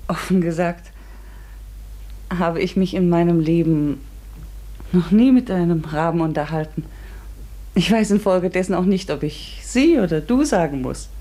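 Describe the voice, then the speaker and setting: An actress plays an inquisitive, ambitious woman in a literary radio drama. Her voice is breathy and husky, and she speaks earnestly, reflectively and with polite hesitancy. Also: on the low side, moderate pace, pauses often, dark tone, natural voice. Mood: curious, polite. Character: eager, curious, ambitious woman narrating her strange discovery. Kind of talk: literary radio play narration and dialogue.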